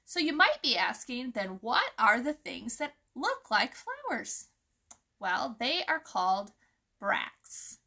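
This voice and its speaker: A woman's voice: a little high, big pitch swings, takes it slowly, pushed and bright. A woman hosting a children's story time, explaining things informally and putting questions to her young listeners. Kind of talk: informal nature lesson for children, with read-aloud.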